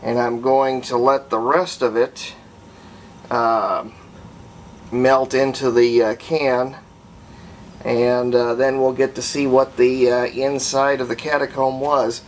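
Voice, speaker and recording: A man giving a casual hands-on demonstration, unhurried and folksy, thinking aloud as he works. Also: mid-range voice, little pitch movement, slight background noise. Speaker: hobbyist restorer and video host.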